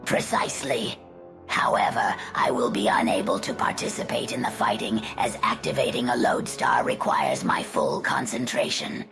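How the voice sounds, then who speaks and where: Low, creaky, whispering spider sorceress. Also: female voice, very animated, heavily acted. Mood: cold, satisfied. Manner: formal, measured, sly. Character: scheming undead spider sorceress, calculating and scholarly. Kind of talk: fantasy game cutscene dialogue. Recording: loud music behind the voice.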